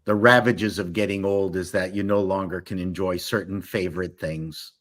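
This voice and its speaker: A man's voice: low-pitched, fairly even intonation, normal speed, fairly bright tone. A middle-aged man giving opinions in casual chat as a stream panelist, with an emphatic manner.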